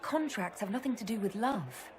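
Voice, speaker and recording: A woman's voice: mid-range voice, lively intonation, moderate pace, some chatter behind, lightly acted. An actress playing a wry, composed woman who leads a fantasy order in a video game, with a dry, teasing way of talking. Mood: gentle, wry.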